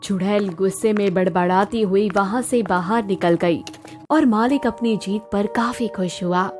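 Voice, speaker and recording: A woman's voice: medium pitch, unhurried, a little mellow, soft background music. A woman narrating a scripted cartoon story as its storyteller.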